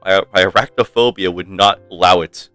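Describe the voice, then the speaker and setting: A man's voice: deep voice, steady tone, brisk, clean tone, no room sound. A man streaming a game with casual, playful, rambling commentary for his chat. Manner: rambling, playful, mock-stern. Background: soft game music.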